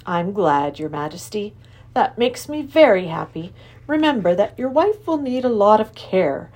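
A woman voicing a kindly, calm professor who talks in a gentle, measured, earnest way. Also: mid-range voice. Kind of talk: storybook reading.

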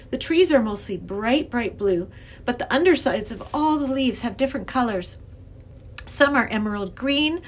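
An earnest young girl from a children's storybook, acted by a woman, high in pitch and swooping with excitement. She speaks insistently, pleading like someone who badly needs to be believed.

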